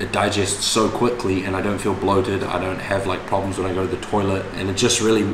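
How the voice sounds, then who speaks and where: A young man chatting casually to camera as a fitness vlogger, with blokey, slangy, sweary talk. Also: deep voice. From New Zealand. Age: young adult. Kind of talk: casual vlog chat to camera. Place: indoors in kitchen, living room and gym. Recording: echoey room with background noise.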